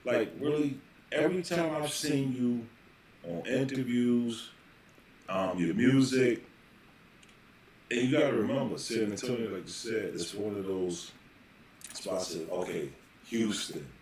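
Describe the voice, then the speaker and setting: A man hosting a casual podcast interview, building long questions in halting, repeated phrases. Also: medium pitch, darkish tone. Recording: very echoey room, faint background noise.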